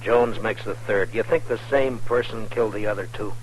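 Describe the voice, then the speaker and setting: An American actor playing a weary, blunt frontier marshal in 1950s radio drama style, terse and deadpan. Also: male voice, on the low side, moderate pace, lightly acted. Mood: brusque. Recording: noisy old radio broadcast recording.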